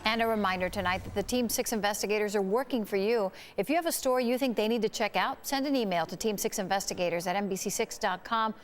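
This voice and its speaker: A woman's voice: medium pitch, fast, hardly any pauses, a little hiss or hum. A woman presenting scripted television news as an anchor.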